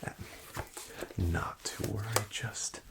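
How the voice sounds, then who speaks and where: A man whispering softly as a polite, hesitant doctor in an ASMR roleplay, with a wry bedside manner. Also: takes it slowly. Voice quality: whispered, gravelly. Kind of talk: soft-spoken ASMR roleplay.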